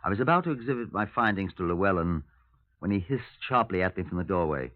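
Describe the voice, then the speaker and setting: An actor playing a senior Scotland Yard detective in a 1950s radio drama, wry, clipped and formally polite. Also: male voice, sits low, lively intonation, fast talker, narrow, tinny audio. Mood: measured narration. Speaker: detective and narrator. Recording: thin, band-limited 1950s radio recording.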